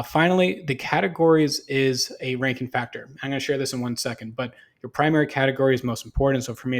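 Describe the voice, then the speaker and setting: A man giving a casual, unscripted screen-share tutorial as a marketing consultant, talking through examples in a conversational, explanatory way. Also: mid-range voice.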